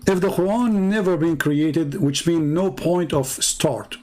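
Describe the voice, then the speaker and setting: A man's voice: fairly high pitch, unhurried, darkish tone. Arabic-accented man, sarcastic debater. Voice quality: broken, non-native grammar. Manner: argumentative, sarcastic rhetorical questions. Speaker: religious debate commentator. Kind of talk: polemical commentary.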